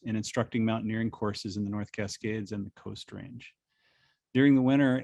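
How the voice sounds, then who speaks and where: A man's voice: mid-range voice, a little mellow, no echo, studio quality. A man hosting an online talk, reading a prepared introduction of the guest with small slips and restarts. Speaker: webinar host introducing a speaker.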